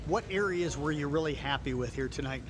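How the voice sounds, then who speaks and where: Fast-talking male sports radio reporter. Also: a little high. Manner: quick, friendly sports-radio questioning. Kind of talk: post-game interview questions. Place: school gym, indoors. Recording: crowd noise in a gym.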